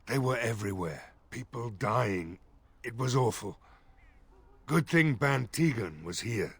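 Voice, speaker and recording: An actor voicing a weary, gruff village mayor in a video game, blunt and grumbling, with a gravelly, husky voice. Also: male voice, medium pitch, lively intonation, measured pace, fairly bright tone, dry recording, full character voice. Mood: grim.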